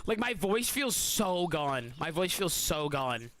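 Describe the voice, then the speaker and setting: A high-voiced man calls a game tournament live on stream, hoarse and worn but still hyped, shouting over big plays and chatting slangily with his audience between matches. His tone is bright and forceful despite the rough throat. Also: slow pace. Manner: excitable hype commentary with slang. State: hoarse, voice feels weak.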